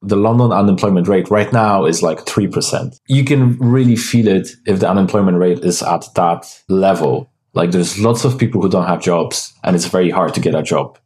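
A man in his thirties, born in Poland, chats on a video call. His voice sits very low, and he rambles with fillers and restarts. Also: normal speed, few pauses, a little mellow. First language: Polish. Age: 30s. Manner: rambling, many likes and restarts. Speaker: guest developer. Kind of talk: casual interview chat. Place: home room with window. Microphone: video call with headset.

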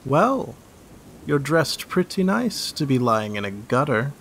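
A man playing a tall, amused street thug in audio fiction, unhurried and taunting. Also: medium pitch, very animated, fairly bright tone, a little hiss or hum, heavily acted. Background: rain and thunder.

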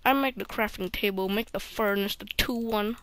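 A woman's voice: mid-range voice, normal speed, a bit bright, natural voice. A woman commenting on a game while putting on a Chinese accent with broken-English phrasing. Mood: matter-of-fact. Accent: put-on Chinese. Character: chatty cook in a mock Chinese accent. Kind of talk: gameplay commentary.

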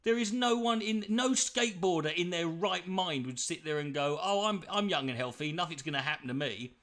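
A man's voice: on the high side, brisk. A man with an Irish accent giving a profane, mocking reaction commentary from home. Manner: mocking, swearing, animated.

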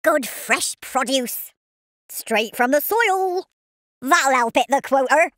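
Cheeky cartoon farmer worm, high bright voice, thick west country accent, grumbly video game barks. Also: expressive, dry recording, clean studio sound, exaggerated voice. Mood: chipper. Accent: English West Country. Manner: rustic, exclamatory, drawn-out delivery.

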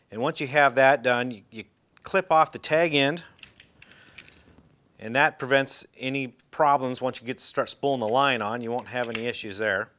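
Relaxed folksy man demonstrating fishing gear. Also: mid-range voice. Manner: relaxed, folksy, with fillers and restarts. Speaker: fishing instructor. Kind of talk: casual how-to demonstration. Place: indoor room, seated in a chair.

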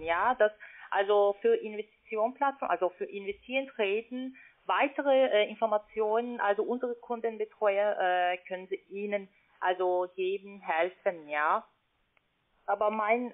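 A call centre agent, a woman speaking with a foreign, non-native accent, halting and searching for words on a phone call. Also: mid-range voice. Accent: foreign, non-native German. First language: not German. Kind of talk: unscripted phone sales call. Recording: narrow tinny phone line.

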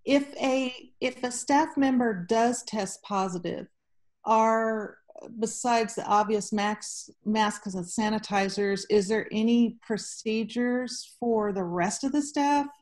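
A woman's voice: on the high side, a little mellow. A woman restaurant owner asking a hesitant question on a video call. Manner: hesitant, halting. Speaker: caller.

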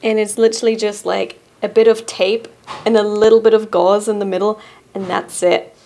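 A young woman chats about cheap shop finds to camera from a small studio room, bubbly and quick to giggle. Her voice has a gravelly fry and sits at an ordinary middle pitch. She is close on a studio mic. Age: young adult. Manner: bubbly and giggly, drops to a soft relaxed tone. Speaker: host presenting shop items. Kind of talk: casual product review to camera. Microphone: boom-arm studio mic in front.

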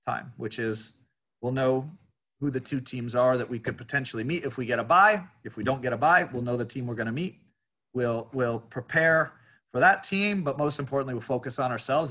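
A male college soccer head coach answering questions at a press conference, haltingly, repeating words as he thinks aloud. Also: medium pitch, fairly bright tone.

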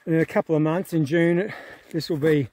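A man in his forties speaks in a slightly high voice to a phone held at arm's length. He is out on an open mountain trail, walking and pausing to marvel at the view. His talk is casual and easy. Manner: relaxed, awed, talking to camera while walking. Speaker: hiker vlogger. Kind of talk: casual on-the-go vlog narration. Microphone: phone camera held at arm's length.

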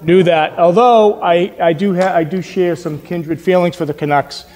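A man's voice: high voice, steady tone, normal speed, a little mellow, a little hiss or hum. A middle-aged man with a Boston accent giving a friendly conference talk from a podium in a hotel meeting room. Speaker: conference speaker. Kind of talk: conference presentation. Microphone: podium microphone. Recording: reverberant conference hall.

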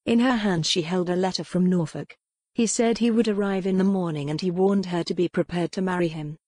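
Low-voiced woman narrating fiction. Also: a little mellow, no echo, clean studio sound. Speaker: audiobook narrator. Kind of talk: scripted dramatic fiction narration.

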